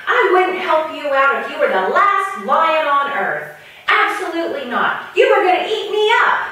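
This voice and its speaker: A cheeky little trickster rabbit from a puppet storytime, voiced by a woman, high and singsong with big pitch swings. It carries from a distance in a very echoey room. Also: big pitch swings, moderate pace, pushed and bright, full character voice. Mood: cheeky, firm. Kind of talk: animated storytime puppet storytelling for small children. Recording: roomy, echoing, far from the mic.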